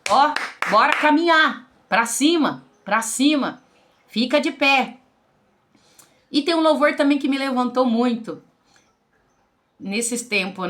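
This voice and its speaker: A woman's voice: a little high, a bit bright. Earnest Brazilian woman pastor in her forties. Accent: Brazilian Portuguese. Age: 40s. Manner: earnest, preaching, addresses listeners as brothers and sisters. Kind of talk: religious testimony to camera.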